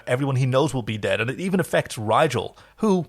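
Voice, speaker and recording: A man's voice: medium pitch, animated, fast talker. A man co-hosting a casual recap podcast, talking as a wry, enthusiastic fan. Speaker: podcast co-host. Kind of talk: casual episode recap chat.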